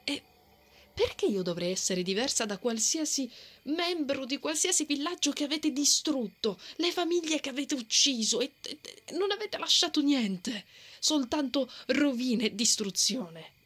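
A woman voicing a brave, hot-tempered female knight with a secret soft heart, in an ASMR roleplay, blustering and easily flustered. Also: sits high, expressive, unhurried. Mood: indignant, confrontational. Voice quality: breathy, often dropping to a whisper. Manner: tsundere, blustering, flustered stammering.

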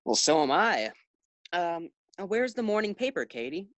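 A woman's voice: lowish voice, big pitch swings, moderate pace, dry recording. A woman playing a distracted, affable husband with hesitant delivery in an old-time radio sitcom. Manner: hesitant, absent-minded. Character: distracted, affable husband reading his morning paper.

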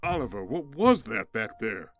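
An actor playing a blunt, exasperated vigilante's teammate in an audio drama, forceful and accusing. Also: male voice, lowish voice, very animated, moderate pace, soft background music. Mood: angry, accusing.

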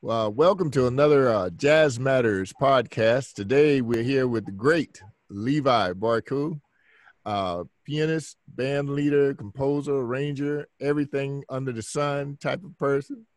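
A man's voice: medium pitch, unhurried, no echo. A middle-aged man hosting a podcast interview over a video call, talking easygoing with fillers. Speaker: podcast host.

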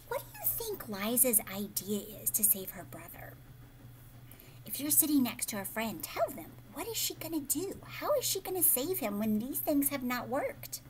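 A woman in her thirties reads a storybook to young children in a fairly high voice. She is expressive, explains as she goes and puts questions to her listeners. There is some background noise in the room. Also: poor audio. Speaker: teacher reading aloud to children. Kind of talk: picture-book read-aloud with questions to young listeners. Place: living room at home, indoors.

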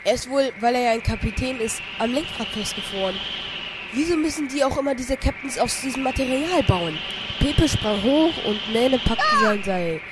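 A man's voice: very high voice, moderate pace, heavy background noise. A young man narrating an amateur parody audio drama in a dramatic storytelling manner.

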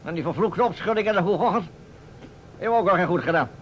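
An actor playing a pompous, blustering older small-town mayor, easily indignant, in a Dutch radio drama. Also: male voice, high-pitched, lively intonation, quick pace, fairly bright tone. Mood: self-important. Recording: muffled vintage radio recording with noise.

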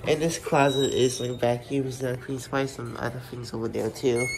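Guy with a gravelly voice rambling thru a house tour on his phone, lots of uhs, loud handling clicks. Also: mid-range voice, fairly even intonation, heavy background noise. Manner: rambling, halting, many fillers and restarts. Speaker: vlogger giving a house tour. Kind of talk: casual handheld walkthrough narration. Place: indoors in a rental house kitchen and living room. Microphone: phone camera held while walking.

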